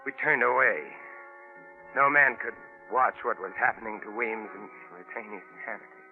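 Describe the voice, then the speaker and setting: A male actor playing a tense stranded adventurer, telling his jungle ordeal in a dramatic, deliberate old-time radio drama style. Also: on the low side, lightly acted. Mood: grim, haunted. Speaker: actor narrating and playing lead. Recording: tinny vintage radio broadcast with music behind.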